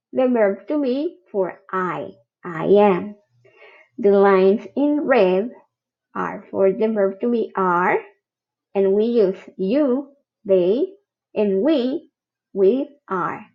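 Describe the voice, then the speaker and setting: A woman teaching a grammar lesson, slowly explaining each example and checking in with the learner. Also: a little high, lo-fi sound. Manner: patient, spells out each example. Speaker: English teacher. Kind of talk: slow recorded grammar lesson.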